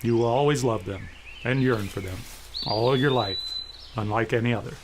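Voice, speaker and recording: A man with a gravelly voice delivering a scripted sign-off narration. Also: medium pitch, normal speed. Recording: clear background noise, outdoor-like ambience.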